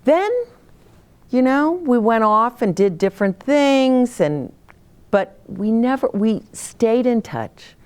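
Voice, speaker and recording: Older lady doing story time for little kids, takes it real slow, voice swings up and down a lot. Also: fairly high pitch, clean tone. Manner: simple, expressive, addressing children directly, with dramatic pauses. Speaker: children's story time host. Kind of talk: storytelling and advice to young children.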